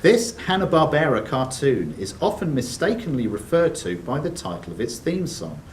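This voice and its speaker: A man's voice: mid-range voice, big pitch swings, normal speed. A man reading out quiz questions as quizmaster in a club room, with brief banter between scripted questions. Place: sports and social club room. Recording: distant room mic, faint background noise.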